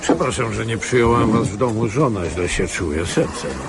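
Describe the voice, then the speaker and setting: Radio drama: man playing retired intelligence colonel, older, low gravelly voice, condescending and guarded, faint noise behind. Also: expressive, moderate pace. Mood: weary, wry. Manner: wry, condescending.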